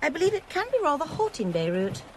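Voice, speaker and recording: A brisk spy-agency woman in a radio thriller. The voice is fairly high, clipped and deadpan with withering cool, over clear vehicle noise. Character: brisk, cool intelligence service woman, quietly mocking. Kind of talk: radio drama acting.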